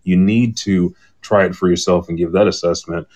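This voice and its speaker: A man with a low-pitched voice talks as a podcast host in a casual live chat. He is candid and loose, rambling through his points and slipping into retold back-and-forth dialogue. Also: fairly even intonation, moderate pace, darkish tone. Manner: rambling, candid, retells conversations in quoted dialogue.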